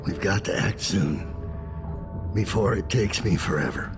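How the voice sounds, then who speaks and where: An actor playing a weary, grave old assassin mentor in a video game, speaking deliberately with a gravelly voice. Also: male voice, low voice, over music. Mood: grave, urgent. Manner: grave, deliberate, in short phrases. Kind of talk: dramatic video game dialogue.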